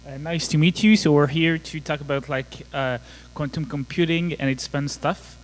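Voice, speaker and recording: A man's voice: a little high, fairly even intonation, moderate pace, low-quality recording. A man in his thirties from France with a French accent, giving a joking, casual conference talk full of fillers. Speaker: conference speaker. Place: conference hall.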